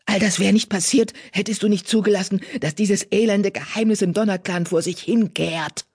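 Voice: moderate pace, vocal fry, husky. A narrator voicing a fierce old female cat, a bitter former healer who accuses in a growl. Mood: furious. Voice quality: low growl, hissing. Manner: menacing, accusatory, grim.

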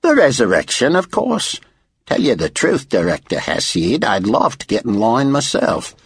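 A man voicing an excitable, chatty Australian motor pool worker in an audiobook, with eager, folksy, pushy talk. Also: mid-range voice, normal speed, husky, heavily acted. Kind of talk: audiobook character voice.

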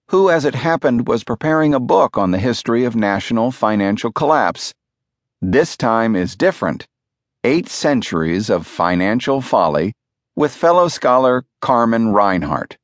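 Guy reading a nonfiction audiobook, medium pitch, really animated delivery, measured and clear, close mic no room sound. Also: dry recording. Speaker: audiobook narrator. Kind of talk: scripted nonfiction audiobook narration. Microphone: close studio microphone.

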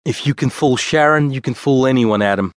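A man's voice: mid-range voice, fairly quick, dry recording, studio quality, pitched above the performer's own voice, lightly acted. A man voicing a bossy, proud male ringleader who rallies his troupe in a declamatory, boastful way.

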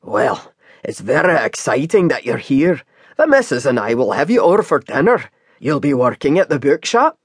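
A reader voicing an older male Scottish taxi driver who talks slowly in a kindly, chatty way. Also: on the high side, sing-song, breathy edge, no room sound, studio quality, exaggerated voice. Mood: friendly. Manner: slow, warm, folksy.

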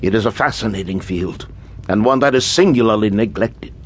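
A man's voice: lowish voice, slight background noise, close to an everyday voice. Man acting a formal, secretive occult scholar. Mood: scholarly, intent. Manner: formal, erudite, measured speech. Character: scholarly, secretive male occultist, nervous employer. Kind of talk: radio drama acting.

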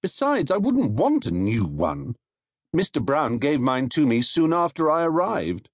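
A polite, earnest young bear speaks in a plaintive, slightly raised voice, as a man puts him on. The tone is husky and close, with no room around it. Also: a little high, wildly swooping pitch, measured pace, no echo, pitched above the performer's own voice, full character voice. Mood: sad.

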